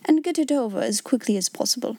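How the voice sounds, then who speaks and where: A woman voicing an older gentleman with a hot temper held firmly in check, speaking with quiet command. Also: a little high, expressive, fast talker, slightly rough, no echo. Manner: firm, restrained, quietly commanding.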